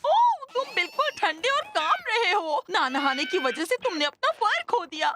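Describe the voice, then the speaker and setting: A woman's voice: extremely high pitch, very animated, moderate pace, background noise. A woman voicing a dotty, doting cat lady who coos theatrically over her pets in a Hindi cartoon dub. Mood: doting, fussy. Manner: cooing, singsong, theatrical. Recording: music clearly behind the voice.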